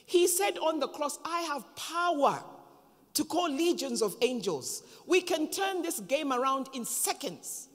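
A Zambian woman in her fifties preaching a sermon from a pulpit on a handheld microphone, emphatic and dramatic, with long pauses. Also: sits high, expressive. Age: 50s. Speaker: pastor. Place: large church hall, on stage at a pulpit. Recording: long reverb of a church hall.

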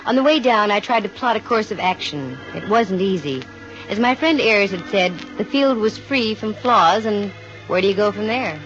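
A woman's voice: mid-range voice, lively intonation, normal speed, music in the background, close to an everyday voice. Woman acting as female private eye, dry wit, wisecracking, 1950 radio drama, muffled old recording. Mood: wry narration. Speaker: lead actress and narrator.

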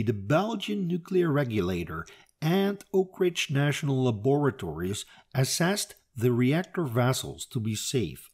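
A man with a Dutch accent giving an opinionated educational commentary and reading out a translated news article. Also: breathy edge. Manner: opinionated, emphatic, lively pitch swings. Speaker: video commentator.

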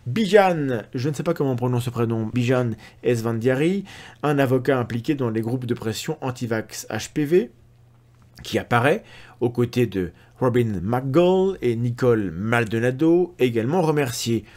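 Guy in his 40s reading out a debunk, sardonic, pitch swings all over, close mic. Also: animated, normal speed, darkish tone. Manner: sardonic, ironic asides. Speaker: science skeptic video host. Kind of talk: reading a critical analysis to camera. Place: indoor room at a desk.

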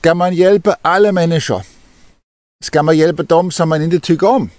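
An older man, a deacon, telling a Bible story to children outdoors in an expressive, lively way. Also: a little high, no room sound, soft background music. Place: outdoors in an open field.

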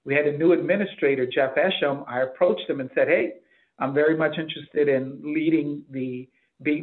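Male executive, middle-aged, from the Bahamas, slightly high pitch, interview answers, thin phone-like call audio. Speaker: hospital chief executive being interviewed. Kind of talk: interview answers about career. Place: office, indoors. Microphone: computer mic on a video call.

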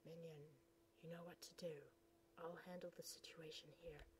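A woman's voice: on the low side, flat delivery, moderate pace, background noise, pitched below the performer's own voice, close to an everyday voice. A woman voicing a haughty villain who commands a minion and scolds failure. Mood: scheming. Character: scheming villain master with minion.

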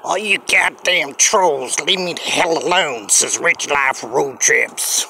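A man's voice: on the high side, vocal fry, pushed and bright, slight background noise. An older man from Canada rambling in a selfie vlog, filmed outdoors on a phone held at arm's length, drawling and repeating himself as he promotes his channel. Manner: slow, drawling, repetitive self-promotion. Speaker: vlogger. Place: outdoors on a sunny hillside street.

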